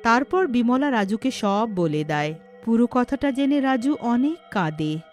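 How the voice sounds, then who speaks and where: A woman's voice: fairly high pitch, sing-song, unhurried, darkish tone, soft background music. A woman narrating a scripted horror story as storyteller.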